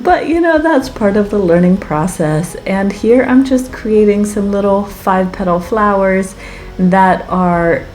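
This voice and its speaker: A woman with a slightly raspy voice from being sick, chatting enthusiastically as she narrates a casual craft tutorial. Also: medium pitch, darkish tone. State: getting over a weekend sickness. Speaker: craft channel host. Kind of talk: casual tutorial narration over process video.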